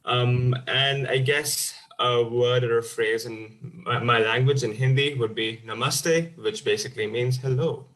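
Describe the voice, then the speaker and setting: A young male final-year student who grew up in India, a guest on a video call, relaxed and friendly. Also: medium pitch, unhurried, hardly any pauses, poor audio. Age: young adult.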